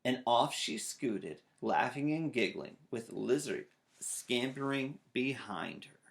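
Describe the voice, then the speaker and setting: Animated man reading to kids. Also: mid-range voice, takes it slowly, vocal fry. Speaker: storytime reader. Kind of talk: animated children's read-aloud. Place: small child's bedroom indoors.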